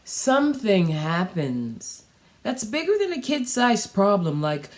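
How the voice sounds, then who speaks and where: A woman's voice: medium pitch, very animated, takes it slowly. A woman voicing a kindly, patient pig schoolteacher in a children's storybook, earnest and reassuring, explaining things carefully. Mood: earnest, instructive.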